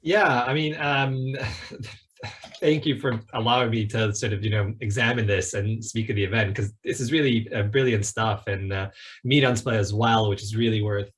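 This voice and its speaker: A man with a slightly low voice speaks as an academic panelist. He offers informal commentary in a conversational, reflective way.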